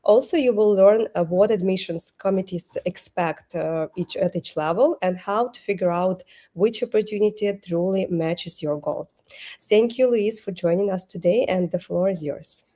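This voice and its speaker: A woman acts as host of an online event. Her voice sits at an average pitch with a dark, relaxed tone, and she delivers a semi-scripted introduction for a guest speaker. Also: normal speed.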